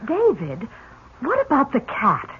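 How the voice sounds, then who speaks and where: A woman's voice: sits high, wildly swooping pitch, measured pace, fairly bright tone, husky, exaggerated voice. An actress playing a polite, attentive young man's companion in 1970s radio drama style. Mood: prompting. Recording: tinny old radio broadcast.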